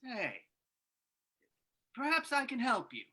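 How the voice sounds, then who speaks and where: A woman's voice: fairly high pitch, huge pitch swings, slow pace, pushed and bright, breathy edge, heavily acted. A woman playing a nervous, eager townsman in a radio drama, polite and stammering, repeating words. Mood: helpful. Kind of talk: old-time radio drama acting.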